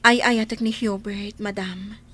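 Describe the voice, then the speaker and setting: An actress playing a gentle but steadfast young student in love, in a radio drama. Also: female voice, medium pitch, steady tone, unhurried. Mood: apologetic, resolute. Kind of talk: Ilocano radio drama acting.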